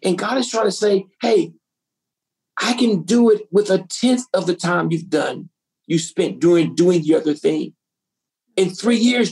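A woman's voice: medium pitch, gravelly, darkish tone, breathy edge, poor audio. A woman pastor preaching a sermon to a women's group, asking the audience rhetorical questions.

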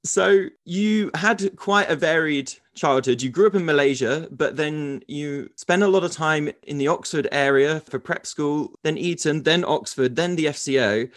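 A man's voice: fairly high pitch, normal speed, hardly any pauses, no room sound, clean studio sound. A man hosting a podcast, reading his introduction and then asking a guest questions in an earnest, enthusiastic way. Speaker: podcast host.

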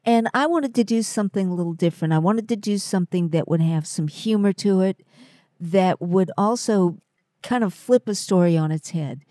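A woman's voice: medium pitch, moderate pace, warm tone, dry recording, clean studio sound. A woman co-hosting a podcast, chatting casually with a playful, jokey manner. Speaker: podcast co-host and writer. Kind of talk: casual chat.

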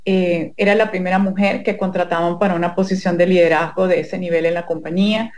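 A woman in her forties, born in Venezuela, a company HR manager, telling her story in an interview with measured hesitations. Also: lowish voice, fairly even intonation, a little mellow. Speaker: guest, HR manager. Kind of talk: interview answers, personal story.

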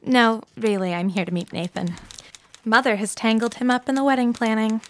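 An actress playing a breezy, teasing office friend in an audio drama, playful and chatty. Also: female voice, on the high side, steady tone, normal speed, lightly acted. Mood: teasing, cheerful.

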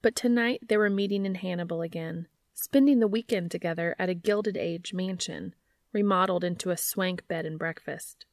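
A woman's voice: fairly low pitch, normal speed, dry recording, clean studio sound. A woman hosting and narrating fan fiction in a scripted read-aloud style. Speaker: podcast host and fan fiction reader.

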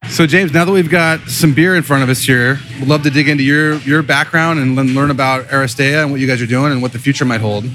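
Male podcast host, medium-pitched voice. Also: steady tone, fast, hardly any pauses, a bit bright. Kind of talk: casual conversational interviewing.

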